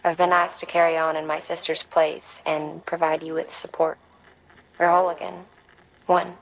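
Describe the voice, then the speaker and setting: A woman playing an enigmatic, devoted support contact in a video game, talking cryptically in short, deliberate phrases. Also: low-pitched, little pitch movement, creaky, low-quality recording. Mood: composed, cryptic. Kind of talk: dramatic video game dialogue.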